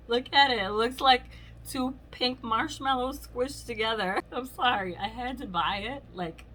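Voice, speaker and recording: A hoarse woman chatting casually through a beauty product review, rambling with lots of fillers. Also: a little high, unhurried, pushed and bright, a little hiss or hum, rough recording. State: hoarse from illness. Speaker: beauty vlogger. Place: home.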